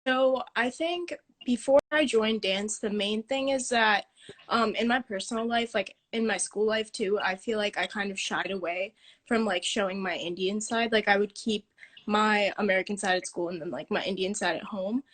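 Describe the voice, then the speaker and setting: A teenage girl speaks as a guest on an online live stream, describing her time as a dance student. She talks thoughtfully, stringing answers together with many fillers, at an everyday middle pitch. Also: moderate pace, a bit bright. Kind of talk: casual interview answers.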